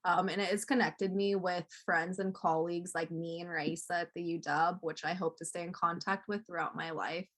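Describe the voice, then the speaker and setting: A young woman with a slightly low voice hosts a student presentation. She moves between introducing fellow speakers and reading statements aloud. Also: flat delivery, moderate pace, few pauses, fairly bright tone. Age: young adult.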